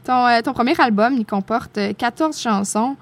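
A woman asks an interview guest casual questions. Her voice sits fairly high, and she often stumbles and restarts mid-question. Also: normal speed.

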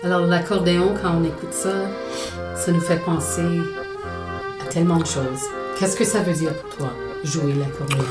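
A woman interviewer gently asking questions for a radio feature. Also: lowish voice, steady tone, normal speed. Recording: echoey with loud music.

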